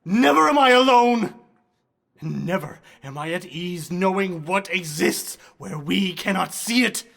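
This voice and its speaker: Man playing a high, tense, haunted asylum patient. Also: animated, measured pace, creaky, fairly bright tone, exaggerated voice. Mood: pleading. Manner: agitated, pleading, intense. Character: distraught, haunted male asylum patient pleading to be believed. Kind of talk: radio horror drama acting.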